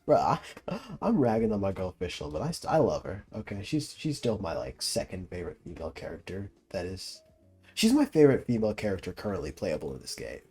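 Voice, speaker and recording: A man's voice: sits low, very animated, a little fast, darkish tone, breathy edge, faint music behind. A young man giving casual commentary as he plays a video game, slangy, profane and teasing. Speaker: streamer reacting to a video game.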